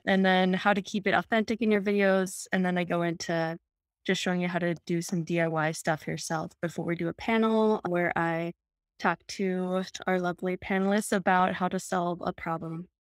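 A woman's voice: on the low side, no room sound, studio quality. A young woman from Minnesota giving a friendly, explanatory webinar presentation over a video call.

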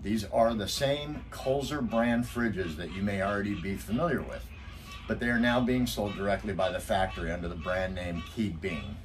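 A man in his fifties hosting a sailing vlog, talking casually about gear with plenty of ums and uhs. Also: lowish voice. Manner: relaxed, with frequent ums and uhs. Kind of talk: casual product review and vlog narration. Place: outdoor backyard patio.

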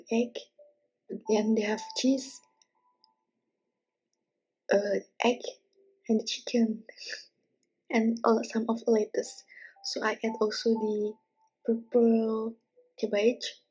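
A young woman hosting an ASMR mukbang, speaking softly and close up in a hushed, often half-whispered voice. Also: mid-range voice, husky, poor audio. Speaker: mukbang host. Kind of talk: ASMR, soft-spoken to viewers. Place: small indoor living room, at a table.

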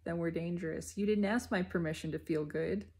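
A woman giving an unscripted, reflective spiritual monologue in deliberate, halting phrases with emphatic repetition. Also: fairly low pitch, fairly even intonation, warm tone. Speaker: speaker sharing personal spiritual reflections.

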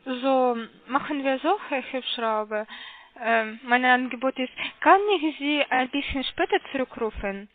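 A woman's voice: high voice, unhurried, no room sound. A woman call center agent, not a German speaker, asking hesitant, repetitive, polite questions in a phone sales conversation. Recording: muffled, narrow telephone line.

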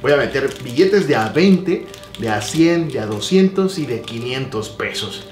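A man in his thirties with a Mexican accent, vlogging in a loud, joking, animated way, filmed close up by his own camera. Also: medium pitch, a little slow, music in the background. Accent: Mexican Spanish. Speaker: YouTuber. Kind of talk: excited vlog presenting. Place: small room with foam panels, and a store.

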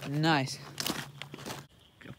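A teenage boy chatting excitedly while fishing from a lake shore, recorded on a head-mounted camera. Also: very slow. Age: teens. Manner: excitable, exclaiming over catches. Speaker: fishing vlogger. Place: outdoors on a rocky lake shore. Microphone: head-mounted action camera. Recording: noisy outdoor camera audio.

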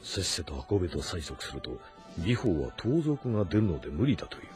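A man's voice: low voice, sing-song, fairly quick. A man playing a proud, stern middle-aged general in a grave, disapproving inner monologue from a historical drama. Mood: stern exasperation. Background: background music.

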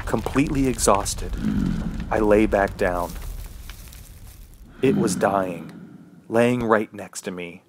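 A man's voice: fairly low pitch, slow, long pauses, background noise. A man playing a desperate, dying man trapped in the woods, narrating his ordeal intensely in a horror radio play. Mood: weary, resigned. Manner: intense, swinging from weary musing to yelling. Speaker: lead actor and first-person narrator. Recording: music behind the voice.

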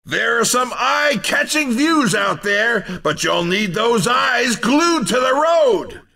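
An actor playing an excitable, upbeat male race commentator in a video game, with showy, hyped announcer delivery. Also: extremely high pitch, takes it slowly, vocal fry, far from the mic, exaggerated voice. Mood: excited, teasing.

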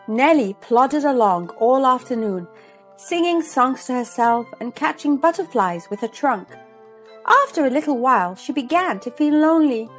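A woman hosting a children's read-aloud, narrating a storybook expressively and deliberately. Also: high-pitched. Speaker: host and storybook reader. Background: music.

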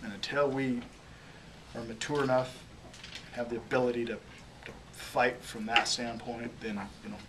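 A man's voice: mid-range voice, flat delivery, normal speed. A man in his forties, a head football coach answering questions at a postgame press conference, often restarting and repeating words as he searches for phrases. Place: small press room, in front of a logo backdrop. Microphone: table microphone on a stand. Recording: distant mic, audible room noise.

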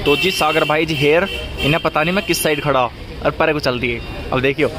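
A man's voice: fairly high pitch, fairly even intonation, a little fast, music in the background. A man in his twenties with a western Uttar Pradesh accent, chatting informally to viewers as a vlogger. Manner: informal, addresses viewers directly. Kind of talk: casual vlog chat. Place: outdoors, roadside and restaurant garden.

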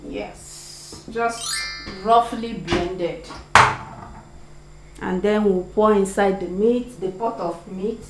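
A woman's voice: mid-range voice, extremely slow, over music. A young Nigerian woman with a Nigerian accent, chatting to viewers while she cooks in her home kitchen. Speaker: cooking vlogger. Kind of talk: casual cooking demonstration. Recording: echoey kitchen with clear background noise.

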